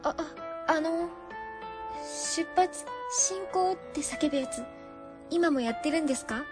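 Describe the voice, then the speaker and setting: Woman playing a very high-voiced shy young clerk. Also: measured pace, music in the background. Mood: shy, polite. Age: young adult. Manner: hesitant, deferential. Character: shy, polite young female city office employee. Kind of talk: radio drama acting.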